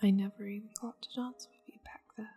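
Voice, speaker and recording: A woman voicing a seductive, possessive demon lady in an ASMR roleplay, languid and teasing, slipping into breathy half-whispers. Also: mid-range voice, steady tone, fast, dark tone, faint music behind. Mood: cool, commanding. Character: seductive, possessive powerful demon lady doting on her pet.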